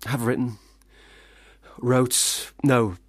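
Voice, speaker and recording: An actor playing a troubled, self-doubting young man who drinks, theatrical, swinging between loud protest and muttering in a radio play. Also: medium pitch, flat delivery, very slow, no room sound, studio quality. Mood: rambling, anxious.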